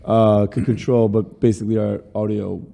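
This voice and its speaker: A man's voice: on the low side, flat delivery, normal speed, vocal fry, warm tone, big-room sound. A man hosting a podcast interview, talking in a rambling way with many restarts. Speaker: podcast host. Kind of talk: casual interview.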